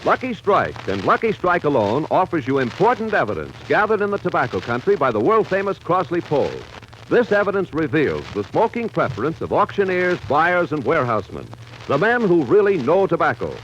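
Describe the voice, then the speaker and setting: A man's voice: fairly high pitch, sing-song, brisk, a bit bright. A man announcing a scripted advertisement in 1940s radio style. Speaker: commercial announcer. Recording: muffled, slightly noisy 1940s radio recording.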